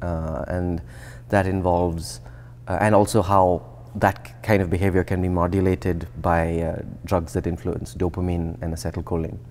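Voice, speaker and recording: A man in his thirties from India, a researcher explaining his path in a relaxed, thoughtful interview, often restarting sentences. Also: deep voice, moderate pace, a little hiss or hum. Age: 30s. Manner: thoughtful, with frequent restarts and fillers. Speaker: guest researcher. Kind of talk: conversational interview. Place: indoor atrium with plants and glass. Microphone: lapel.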